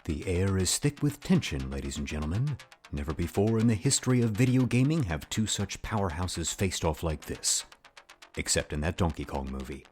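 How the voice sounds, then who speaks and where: A showman commentator calls a game duel as if it were a title fight. His lowish voice is dramatic and grandiose, swinging widely over a music bed. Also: big pitch swings, normal speed, darkish tone. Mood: hushed suspense. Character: grandiose male play-by-play announcer of a video game duel. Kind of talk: mock sports commentary.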